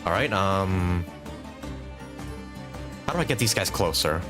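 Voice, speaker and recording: A man's voice: sits low, animated, a little slow, music in the background. A man doing casual live commentary as a game streamer, thinking aloud as he plays and swearing casually.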